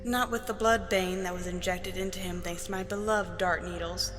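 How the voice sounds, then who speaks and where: A woman's voice: lowish voice, steady tone, moderate pace, close to an everyday voice. A woman playing a smug, vengeful villainous sister who delights in her schemes in an audio drama, with long reverb. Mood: gloating. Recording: long hall reverb, music underneath.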